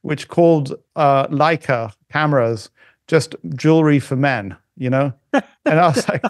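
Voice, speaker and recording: A middle-aged man chats as a podcast guest, his voice sitting a bit high. He talks in a halting way, circling back with restarts and fillers. The sound is dry and close. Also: no echo. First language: not German. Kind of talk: casual conversation.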